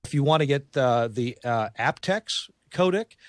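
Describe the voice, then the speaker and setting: A man's voice: medium pitch, lively intonation, measured pace, no room sound, clean studio sound. A man from the United States giving an informal, conversational product explanation as a company representative. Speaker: audio equipment company representative.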